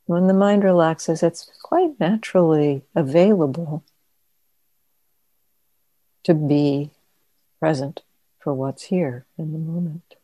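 A woman teacher leading a guided meditation in a gentle, unhurried manner, leaving long pauses. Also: deep voice, dry recording, rough recording. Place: meditation center.

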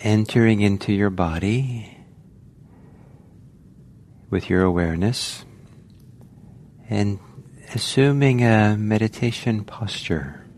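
A man with a low voice guides a meditation. He speaks very slowly and gently, leaving long pauses between short instructions. There is faint noise behind him. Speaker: meditation teacher.

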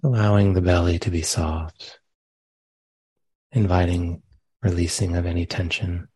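Male meditation guide, gentle and very slow, dark soft-edged tone, close dry sound. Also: deep voice, fairly even intonation, breathy edge, dry recording. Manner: gentle, unhurried, with long pauses. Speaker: meditation teacher. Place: meditation center.

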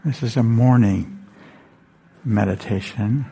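A man guides a meditation in a mellow, gravelly voice, speaking very slowly. His phrases are short and gently spoken, with long pauses between them. A faint hiss sits behind the voice. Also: fairly low pitch. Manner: gentle, unhurried, repeating phrases. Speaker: meditation teacher.

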